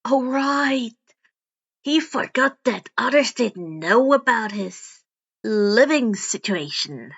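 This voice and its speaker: High-pitched woman narrating a story. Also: expressive, slow, a bit bright, husky, highs cut off. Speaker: podfic narrator.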